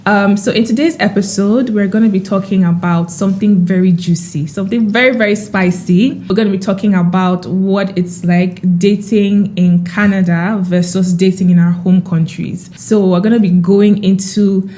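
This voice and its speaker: A young woman hosts a relaxed podcast with a bubbly, laughing manner. Her voice sits in a middle pitch. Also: normal speed, hardly any pauses. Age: young adult. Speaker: podcast host. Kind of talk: casual podcast chat.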